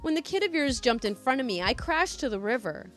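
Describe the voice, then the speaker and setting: Woman reading as an earnest, high-voiced young student. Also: sing-song, brisk, a bit bright, soft background music. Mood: apologetic. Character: young male student cyclist, apologetic but exasperated.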